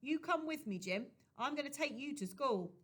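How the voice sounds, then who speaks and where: A woman voicing a plump, panting young working girl who talks in a breathy, earnest rush. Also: sits high, expressive, moderate pace, a bit bright, voice pushed up. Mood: earnest, urging. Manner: breathy, wheezing, chatty.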